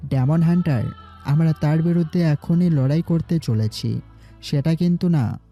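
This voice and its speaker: A man's voice: a little high, measured pace, dark tone, muffled recording. A man voicing a commanding young city boss in an audio drama, giving firm orders. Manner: commanding, gives orders firmly. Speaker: voice actor. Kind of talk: Bengali audio drama acting. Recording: faint background music.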